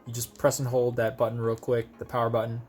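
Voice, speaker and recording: A man's voice: mid-range voice, completely flat delivery, fast talker, heavy background noise. A man giving a casual, conversational hands-on product demonstration, explaining things step by step as a video host.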